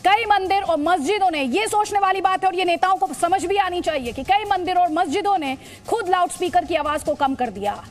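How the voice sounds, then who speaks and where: High-pitched emphatic woman news anchor. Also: animated, fairly quick, hardly any pauses. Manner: emphatic, repeating points. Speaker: television news debate anchor. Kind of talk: forceful news presentation with pointed rhetorical questions.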